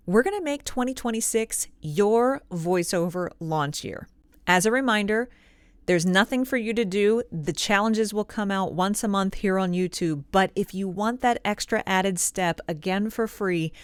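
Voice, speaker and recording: Smooth-voiced encouraging woman, close and dry. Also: medium pitch, no room sound. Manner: encouraging, conversational, clearly articulated. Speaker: voice actor and coach, video host. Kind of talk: friendly instructional pitch to camera.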